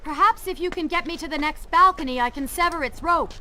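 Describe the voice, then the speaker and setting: An actress voicing a proud, commanding young princess in a video game, speaking briskly and directly. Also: female voice, very high voice, bright, forceful tone, a little hiss or hum, heavily acted. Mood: determined. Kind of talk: game cutscene acting. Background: game music.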